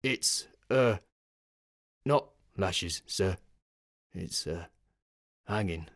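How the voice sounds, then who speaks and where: A man's voice: sits low, animated, drawn-out words, no echo, clean studio sound, full character voice. A man voicing an old, slovenly male army sergeant in an audiobook, wheezy, nervous and servile, with a stammering, hesitant delivery. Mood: fearful, hesitant. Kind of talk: dramatic audiobook character voice.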